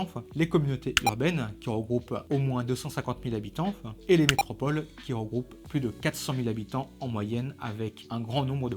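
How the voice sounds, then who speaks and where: A man reads scripted educational narration in a didactic, structured way of explaining. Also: medium pitch. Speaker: presenter of an explainer video. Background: background music.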